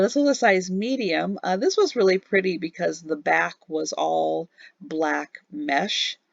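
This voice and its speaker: A woman reselling online, narrating a relaxed screen-recorded recap of what sold, halting with many ums and a tiring voice. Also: on the low side, creaky, no room sound, muffled recording. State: losing her voice. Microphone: voice-over on a screen recording.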